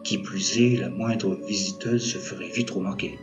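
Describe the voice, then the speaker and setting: A man with a fairly low voice narrates a scripted audio story. He tells it in measured short phrases, with music playing underneath. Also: steady tone, lo-fi sound.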